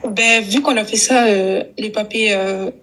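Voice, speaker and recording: A woman from France calling in, speaking in a halting, resigned way with short confirmations. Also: medium pitch, steady tone, fairly bright tone, a little hiss or hum, low-quality recording. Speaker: caller.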